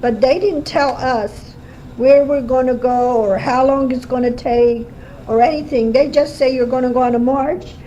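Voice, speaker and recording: An older woman in her seventies, born in Armenia, slowly recalling her life in a home interview, with many pauses and fillers. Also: sits high, steady tone, mellow. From Armenia (Erzurum, Turkey). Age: 70s. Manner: slow, halting storytelling with fillers. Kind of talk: oral history interview. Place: home kitchen. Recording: lo-fi home cassette tape with faint hiss.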